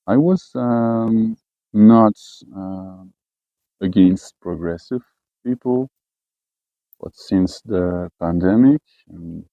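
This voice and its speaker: A man from French-speaking Switzerland with a Swiss French accent, talking casually in halting English with many fillers and restarts. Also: low-pitched, gravelly, dark tone, dry recording, muffled recording. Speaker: expatriate herder. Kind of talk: casual personal talk.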